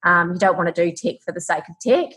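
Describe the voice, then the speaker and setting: Low-pitched New Zealand woman. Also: fast talker, a bit bright, slightly rough. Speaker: interview guest, farmer and tech founder. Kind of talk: conversational interview answers.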